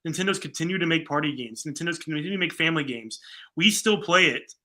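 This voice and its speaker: 30s guy podcast co-host, talks fast, super bright punchy voice, opinionated fan chat. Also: fairly high pitch, expressive. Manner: emphatic, opinionated fan talk. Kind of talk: casual movie discussion.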